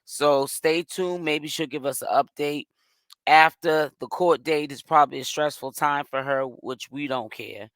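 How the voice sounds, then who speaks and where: A man with a hoarse voice, hosting and giving casual gossip commentary. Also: on the high side, a little slow, fairly bright tone, dry recording. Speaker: commentary host.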